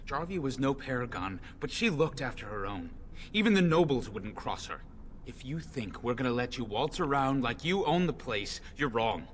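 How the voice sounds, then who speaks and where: An actor playing a vengeful, threatening dwarf gang thug in a fantasy game. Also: male voice, medium pitch, big pitch swings, moderate pace, a little hiss or hum, lightly acted. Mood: menacing, vengeful. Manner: threatening, accusing.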